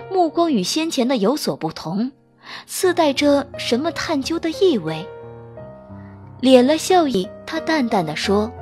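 High, lively woman narrating a romance. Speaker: audiobook narrator. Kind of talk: scripted narration of a romance novel.

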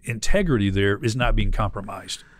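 A man, hosting a conversational interview in a measured, earnest way on a close studio microphone. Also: on the low side, no echo. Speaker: interview host. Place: small indoor studio.

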